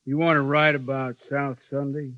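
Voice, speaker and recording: An actor playing a genial but menacing frontier town boss with a folksy drawl in a 1950s radio western. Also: male voice, mid-range voice, little pitch movement, moderate pace, dry recording, close to an everyday voice. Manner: folksy drawl with a veiled threat. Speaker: town boss who lays down the law. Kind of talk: 1950s radio drama acting. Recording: dry, dull old radio broadcast.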